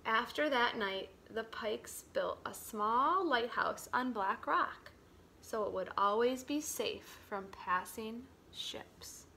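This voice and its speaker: A woman reading a children's picture book aloud as a teacher would, dramatically, dropping to a hush and swelling for emphasis. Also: a little high, slow, gravelly, slight background noise. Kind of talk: expressive children's storybook read-aloud.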